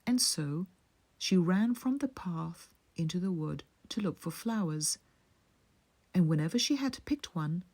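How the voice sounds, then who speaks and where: A woman reads a bedtime fairy tale in a fairly low voice. The delivery is very slow and soothing, measured, with phrases that often sink into a hush. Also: expressive. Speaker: bedtime storyteller.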